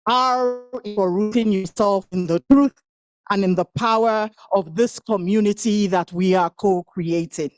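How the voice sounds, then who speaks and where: A woman's voice: medium pitch, clear, resonant tone, no room sound, soft background music. A woman whose first language is Igbo, giving a rhythmic, incantatory spoken-word poetry performance at a women's event. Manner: rhythmic, incantatory delivery with long pauses.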